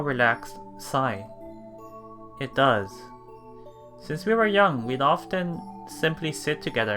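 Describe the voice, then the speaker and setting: A man's voice: medium pitch, bright, forceful tone. A man doing a let's play, reading a visual novel aloud as narrator and adding casual remarks. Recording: game music behind the voice.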